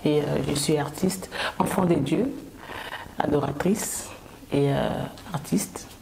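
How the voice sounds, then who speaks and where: A woman from Kinshasa with a Congolese French accent, a gospel singer answering interview questions hesitantly, with many pauses. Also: deep voice, gravelly, noisy background.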